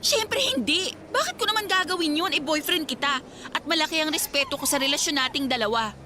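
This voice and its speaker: Distressed young woman, very shrill high pitch, whiny pleading delivery, radio drama, noisy recording. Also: expressive, fairly quick, sharp tone. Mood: defensive, pleading. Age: young adult. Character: distressed young female student, pleading with abusive boyfriend.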